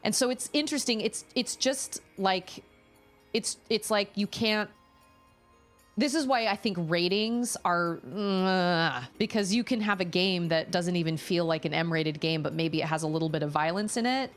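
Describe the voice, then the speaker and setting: A woman's voice: on the low side, moderate pace, soft background music. A woman streamer chatting casually at home while playing a game live, thinking aloud and restarting her sentences often. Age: adult parent.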